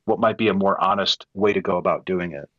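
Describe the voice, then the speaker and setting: A man co-hosting an online audio room, casually explaining tips with a relaxed manner. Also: low-pitched, quick pace, no room sound, dull audio. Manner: relaxed, explaining with many likes. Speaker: co-host of an audio chat room. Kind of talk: casual advice talk.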